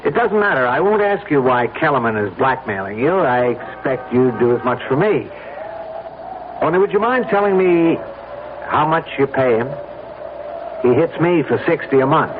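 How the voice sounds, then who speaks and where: A man's voice: mid-range voice, animated, normal speed, narrow, tinny audio, natural voice. Determined, embittered male schemer, played by a radio actor, mid-range voice, noisy band-limited sound. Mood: insistent. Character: determined, bitter male stranger plotting murder. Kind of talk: old-time radio drama acting.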